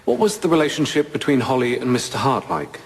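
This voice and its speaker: A man playing a measured, pragmatic crown prosecutor in a legal drama, speaking formally and deliberately. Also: mid-range voice, expressive, breathy edge, in a large hall. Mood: probing. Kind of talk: courtroom drama acting.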